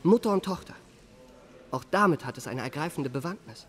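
A young gentleman charmer played by a man speaks in a lightly raised male pitch. He is ardent and flattering, wrapping everything in ornate courtesy, in the manner of a polished radio play. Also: a little fast, close to an everyday voice. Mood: ardent, courteous. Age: young adult. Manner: florid, courteous, elaborately polite.

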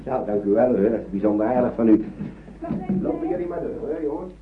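An actor playing a gentle, courteous older man in a radio play, speaking politely and reassuringly with some hesitation. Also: mid-range voice, big pitch swings, brisk, lightly acted. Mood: fussing, friendly. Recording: muffled, noisy old radio drama recording.

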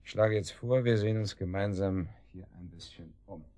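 An actor playing a menacing, mocking kidnapper in a radio drama, with a sarcastic, domineering manner of taunting. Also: male voice, low voice, moderate pace, very noisy, lightly acted. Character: menacing, mocking male kidnapper, boss of a sidekick. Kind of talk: German radio drama acting.

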